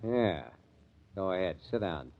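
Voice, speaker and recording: An actor playing a blunt, scornful club owner who talks in sarcastic tough slang in a 1950s radio drama. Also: male voice, sits low, animated, measured pace. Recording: dull lo-fi old radio recording.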